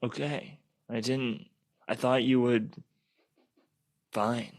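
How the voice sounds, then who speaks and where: Man as slow, halting teenage little brother. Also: medium pitch, flat delivery. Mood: sulky, dismissive. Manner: halting, stammering, slangy. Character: wheedling trouble-prone teenage little brother.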